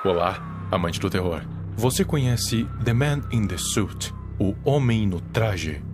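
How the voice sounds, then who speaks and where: A man narrates a horror tale, taking his time with a measured delivery. His voice sits a little low. Music plays clearly behind him. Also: lively intonation. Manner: measured, drawn-out storytelling. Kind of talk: scripted storytelling narration.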